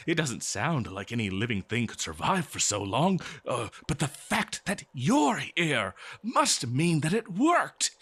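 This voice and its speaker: A man's voice: a little high, a bit bright, breathy edge, full character voice. Man playing an eager, lilting, stammering researcher. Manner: hesitant, stammering, awestruck scholarly enthusiasm. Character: eager, kindly male archaeologist befriending a lonely monster. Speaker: audio drama performer. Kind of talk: scripted fantasy audio roleplay, one-sided dialogue to listener.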